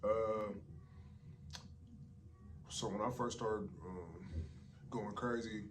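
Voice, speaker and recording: A young man, a rapper, talking casually in an interview with loose slang and a deep voice. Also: extremely slow, recorded from a distance. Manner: laid-back, loose slang, repeats "you know what I'm saying".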